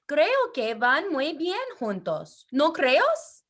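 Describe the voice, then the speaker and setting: A woman co-hosting a children's bilingual read-aloud over a video call, speaking with expressive enthusiasm. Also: high-pitched, slow pace. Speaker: bilingual language teacher and read-aloud co-host.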